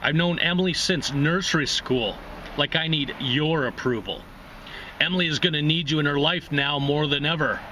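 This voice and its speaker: Man acting an earnest, bright-voiced college guy. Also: a little high, normal speed, slight background noise. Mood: sincere. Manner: sincere, earnest. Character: earnest athletic young college man, new boyfriend.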